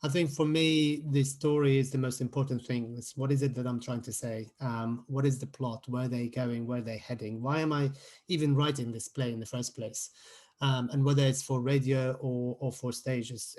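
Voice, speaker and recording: A man's voice: medium pitch, darkish tone. A man speaking as a playwright on a panel, explaining with repetition and rhetorical questions. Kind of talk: explanatory interview talk.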